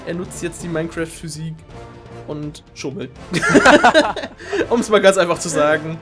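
A man's voice: fairly high pitch, very animated, a little slow. A man presents a game show in a joking, exclamatory way. His commentary is theatrical and playful, with music playing behind him.

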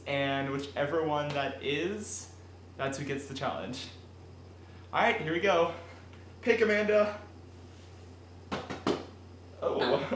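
Lively teen guy on a webcam. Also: on the high side. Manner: energetic, animated, laughs often. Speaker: vlogger. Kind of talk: casual fan vlog. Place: home room, indoors. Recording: roomy room echo, faint hiss.

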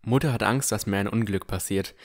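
Low-voiced man narrating fantasy. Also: brisk. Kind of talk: scripted first-person fantasy narration.

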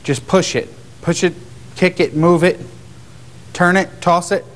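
A man investigating a tunnel at night, talking in low, hushed tones and earnestly coaxing spirits to respond. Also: fairly even intonation, unhurried. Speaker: paranormal investigator. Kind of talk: casual chat, calling out to spirits. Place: dark tunnel outdoors at night.

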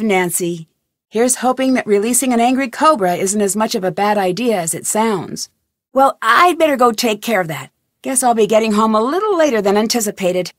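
A woman's voice: medium pitch, dry recording, studio quality, lightly acted. An actress voicing a wry young woman detective in scripted game narration.

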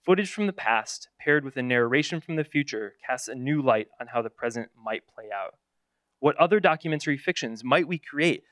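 A man giving a scripted academic conference talk, reading aloud in formal, wordy, measured phrases. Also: mid-range voice, a bit bright, dry recording, clean studio sound. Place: conference session.

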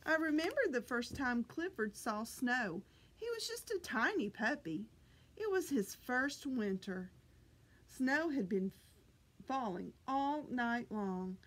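Slow, high-pitched woman reading to children. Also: slight background noise. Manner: deliberate, animated, exaggerated enunciation for children. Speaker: children's librarian reading at story time. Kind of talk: expressive picture-book read-aloud for young children. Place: small library children's room, indoors.

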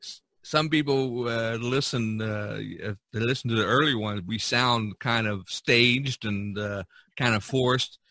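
A man with a bright, forward voice hosts a casual podcast. He talks haltingly, restarting phrases as he goes. The sound is dry and close to the mic. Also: medium pitch, dry recording. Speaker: podcast host.

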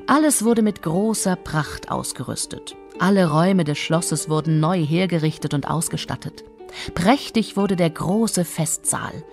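A woman's voice: lowish voice, gravelly. Woman narrating a fairy tale over music. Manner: measured, expressive storytelling. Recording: music behind the voice.

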